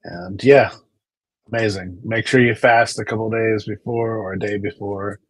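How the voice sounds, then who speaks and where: Husky low-voiced man, dry sound. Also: fairly even intonation, normal speed, no room sound. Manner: rambling, storytelling, repeats words. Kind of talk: casual chat.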